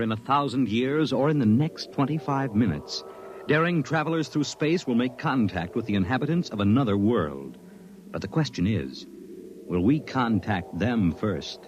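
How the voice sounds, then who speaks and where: A man's voice: on the low side, a little hiss or hum. A man announcing and narrating in a solemn, dramatic 1950s radio style, reading from a script. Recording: dull vintage radio with music. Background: dramatic music.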